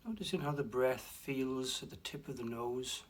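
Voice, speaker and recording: A man guiding a relaxation meditation, speaking slowly and softly with long pauses and a breathy hush at times. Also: mid-range voice. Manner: slow, soft and soothing, with long pauses. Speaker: meditation guide. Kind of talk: guided meditation.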